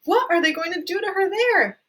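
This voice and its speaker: A woman's voice: high-pitched, lively intonation, moderate pace, fairly bright tone, far from the mic, voice pushed up. Woman doing a shrieking, frantic mother. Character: shrill panicking mother, middle-aged woman.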